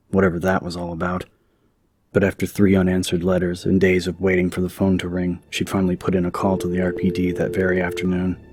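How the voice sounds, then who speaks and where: A man narrating an audiobook from a script in a dramatic story style. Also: low voice.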